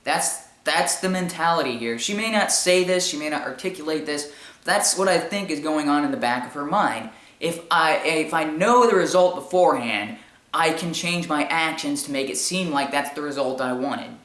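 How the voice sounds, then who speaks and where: High-pitched man with an echoey sound. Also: animated, moderate pace, fairly bright tone. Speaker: commentary show host. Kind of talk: informal opinion commentary.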